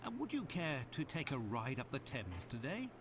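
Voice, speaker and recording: An actor playing a fussy, talkative doctor companion in a video game. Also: male voice, medium pitch, huge pitch swings, moderate pace, background noise. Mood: chatty. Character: chatty loyal male doctor companion. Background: game music.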